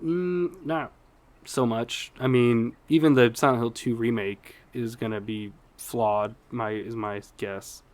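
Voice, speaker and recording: A man's voice: mid-range voice, fairly even intonation, slow pace, a little mellow. Casual man chatting as podcast co-host. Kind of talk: casual chat about video game movies.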